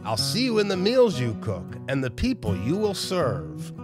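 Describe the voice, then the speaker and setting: A man reading a children's picture book aloud in an expressive, measured, encouraging way. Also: fairly high pitch. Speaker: storybook reader. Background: plucked guitar music.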